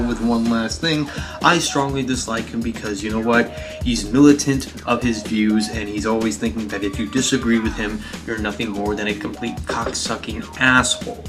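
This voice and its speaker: A man angrily repeating his dislike in a rant. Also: medium pitch. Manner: angry, repetitive. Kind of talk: ranting to camera.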